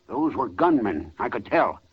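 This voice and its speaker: A man playing a nervous, obliging hotel clerk in an old radio western, husky, deferential and pleading. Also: mid-range voice, big pitch swings, a little slow, full character voice. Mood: anxious, eager. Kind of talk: 1950s radio western acting. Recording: dull vintage radio recording.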